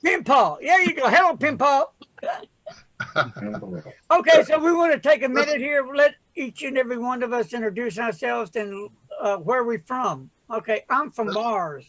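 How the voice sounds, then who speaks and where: A man's voice: extremely high pitch, moderate pace, a bit bright, dry recording, low-quality recording. A man on a video call, playful and boastful in a joking way. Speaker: pen pal group member. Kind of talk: casual video call chat.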